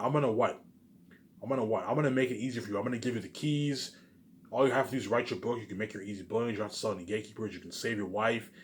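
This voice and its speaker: A man's voice: on the low side, slight background noise. A man giving a rambling, unscripted vlog monologue, emphatic and repetitive, circling back over his phrases and swearing at times. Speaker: vlogger.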